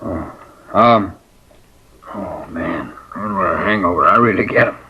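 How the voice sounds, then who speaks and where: A jittery, hungover carnival informant played by a man rambles and repeats himself in a somewhat low voice. It comes from an old radio crime drama, with a dull, lo-fi sound and a clear hiss underneath. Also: moderate pace, natural voice. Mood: jittery, rambling.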